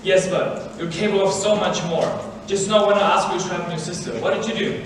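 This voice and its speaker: Teenage male actor as candid literary mentor, high male pitch, instructive, stage play, distant noisy recording. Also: steady tone, fairly quick, close to an everyday voice. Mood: earnest, instructive. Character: earnest, candid scholarly man, literary mentor. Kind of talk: high school stage drama. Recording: echoey theater, distant mic, noisy.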